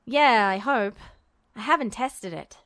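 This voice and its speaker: A woman voicing a gruff, earnest, short-tempered teenage boy with a blunt, emphatic manner in a story reading. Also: a little high, animated, unhurried, fairly bright tone, no room sound. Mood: tired, hopeful.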